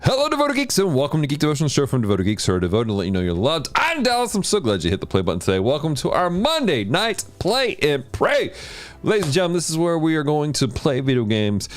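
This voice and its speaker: A man hosting a livestream of video games and Bible study, chatting casually with viewers and teaching in an animated way. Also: fast talker, soft background music. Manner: animated, jumps in pitch when greeting chat. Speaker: host of a gaming and Bible study stream.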